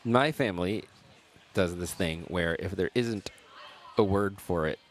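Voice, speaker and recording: Low-voiced man chatting as pickleball commentator. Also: measured pace, a little hiss or hum. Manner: chatty, rambling, chuckling mid-sentence. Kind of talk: casual live sports commentary. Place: indoor pickleball gym.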